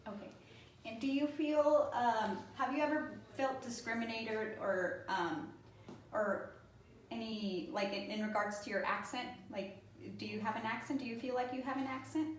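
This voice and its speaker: A woman interviewer with a slightly high voice asks her questions haltingly, leaning on frequent fillers. She sounds distant from the microphone in an echoey room with faint background noise. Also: fairly even intonation.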